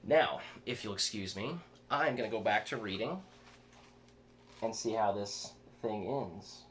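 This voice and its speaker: Low-voiced man reading horror fiction, background noise. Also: unhurried, fairly bright tone. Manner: stumbles and rereads words. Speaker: author reading own fiction aloud.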